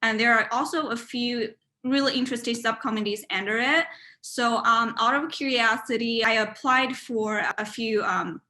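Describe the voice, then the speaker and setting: A woman's voice: fairly high pitch, steady tone, normal speed, bright, forceful tone. A woman in her twenties from China, Mandarin native, speaking English with an accent, answering interview questions over a video call with frequent fillers and restarts. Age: 20s. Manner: hesitant, many fillers and restarts. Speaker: student interviewee. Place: home room, indoors.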